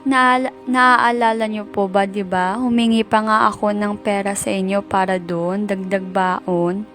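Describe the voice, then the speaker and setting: A woman's voice: medium pitch, steady tone, unhurried, fairly bright tone, natural voice. A woman playing an aggrieved, emotional teenage daughter in an amateur radio drama. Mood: defensive, resentful. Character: aggrieved teenage eldest daughter, grieving and defensive. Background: background music.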